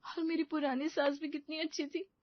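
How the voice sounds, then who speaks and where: A woman voicing a meek, emotional young wife in an audio story, soft-hearted and easily dismayed. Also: sits high, level tone, normal speed, dry recording, dull audio, pitched above the performer's own voice, lightly acted. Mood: tearful, hurt. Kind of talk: Hindi audio story character acting.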